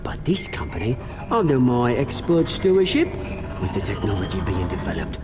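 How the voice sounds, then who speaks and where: A man's voice: medium pitch, very animated, normal speed, over music, natural voice. A man playing a cocky, foul-mouthed British crime boss villain in a video game, with a taunting, sneering, theatrical delivery. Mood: menacing, boastful.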